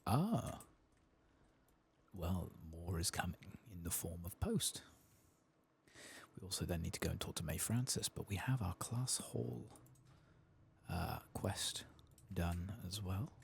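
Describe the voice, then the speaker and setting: A man speaks in a soft, hushed ASMR manner as he narrates a game playthrough. His voice is very low and gravelly, sometimes dropping to a whisper, and unhurried. Faint noise sits behind him. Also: expressive. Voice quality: soft-spoken. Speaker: ASMR gaming host.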